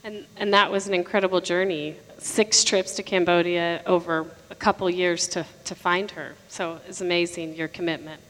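A woman's voice: fairly low pitch, fairly even intonation, moderate pace, few pauses, fairly bright tone. A woman interviewing guests on stage and asking encouraging questions. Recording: long reverb of a hall.

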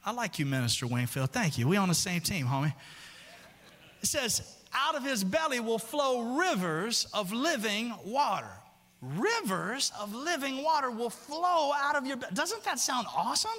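A male pastor preaching a sermon in a church, chatting casually with the congregation, reading scripture slowly, and climbing higher and louder as he gets worked up. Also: sing-song. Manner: reads scripture slowly and deliberately. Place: church sanctuary. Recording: long hall reverb.

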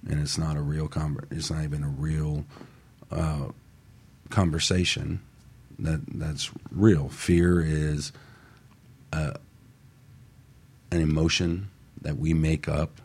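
A man with a deep, slightly rough voice speaks as a panelist on a talk show. He talks in a casual, earnest, motivational way and often checks that listeners follow him. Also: level tone, slow, pauses often, darkish tone.

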